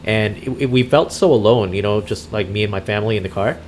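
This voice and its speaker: A man hosting a gaming roleplay as a truck driver, chatting casually and haltingly, repeating words as he thinks. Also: fairly low pitch, slight background noise. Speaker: gamer, video host roleplaying a truck driver. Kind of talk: casual improvised chat while gaming.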